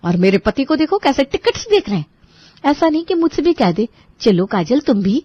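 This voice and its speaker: A woman playing a high-pitched, put-upon young sister-in-law. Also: sing-song, moderate pace, no echo, lo-fi sound. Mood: sarcastic, resentful. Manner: sarcastic, grudgingly polite. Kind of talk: dramatized storytelling with asides to listeners.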